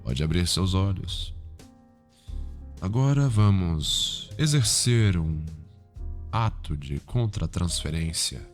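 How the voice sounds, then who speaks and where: Man playing low-voiced, sinister cultured psychiatrist, Brazilian accent. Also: animated, heavily acted. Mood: clinical, instructive. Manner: formal, measured, erudite, softly probing. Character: cultured, calm, sinister male psychiatrist mentoring a trainee. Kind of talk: ASMR roleplay. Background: background music.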